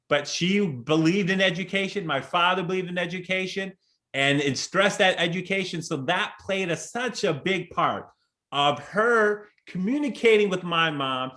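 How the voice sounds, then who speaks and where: Keynote speaker, man in his forties, higher voice, earnest and chatty, video-call sound. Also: pushed and bright. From Chicago. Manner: earnest, conversational, with frequent restarts and "right?" asides.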